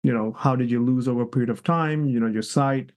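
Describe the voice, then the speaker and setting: A man's voice: medium pitch, fast, a little mellow. A man hosting a podcast interview, asking rambling questions that stop and restart. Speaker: podcast host. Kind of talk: casual interview.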